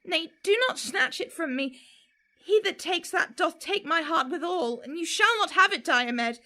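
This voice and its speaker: Actress as fickle, teasing young Trojan woman for verse drama; high, bright, declamatory. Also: lively intonation, exaggerated voice. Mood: torn, teasing. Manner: slow, declamatory, wavering. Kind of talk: theatrical Shakespearean verse acting.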